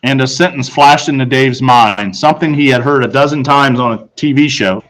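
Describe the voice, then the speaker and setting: A man who is a teacher, narrating a children's book aloud with expressive storytelling. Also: mid-range voice, moderate pace, rough recording.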